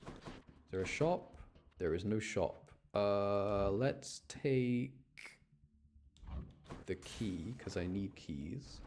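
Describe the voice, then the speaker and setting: A man's voice: on the low side, animated, drawn-out words, pauses often, darkish tone, slight background noise. A man giving casual gameplay commentary, talking to himself and explaining his choices as he rambles along. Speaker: gaming content creator.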